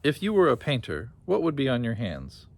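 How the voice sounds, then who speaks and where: A man voicing a feisty, shrewd, loyal wife in a story, exclamatory and sharp-witted. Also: mid-range voice, wildly swooping pitch, normal speed.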